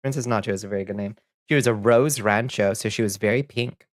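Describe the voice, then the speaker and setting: A man's voice: fairly low pitch, fast, dry recording, clean studio sound. A man streaming a game, chatting casually with viewers and reading their messages aloud, a little sniffly, rambling and laughing easily. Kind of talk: casual chat while gaming.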